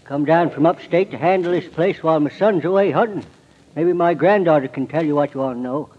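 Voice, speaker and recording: A muddled, hard-of-hearing old grandpa behind a drugstore counter. His voice is high and husky, puzzled yet obliging. It comes from a muffled old radio drama. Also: fairly quick. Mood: puzzled, helpful.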